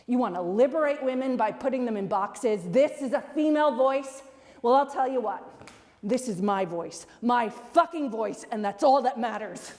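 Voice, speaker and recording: A woman's voice: mid-range voice, moderate pace, natural voice. A woman playing an outspoken, indignant film director in a dramatic monologue, defiant and sweary, tripping over repeated words. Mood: furious, impassioned. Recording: long echoing hall reverb.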